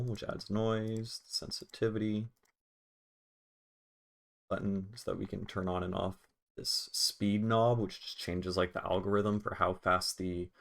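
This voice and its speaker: A man hosting a casual software tutorial on music production, talking in an offhand, informal way and swearing casually. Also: sits low, clean tone.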